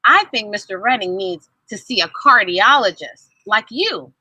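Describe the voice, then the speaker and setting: Woman reading as eager, bright-voiced young storybook boy. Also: medium pitch, lively intonation, measured pace, breathy edge, no room sound, dull audio, exaggerated voice. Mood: eager, proud. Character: eager, curious young boys playing junior doctors. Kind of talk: children's storybook read-aloud.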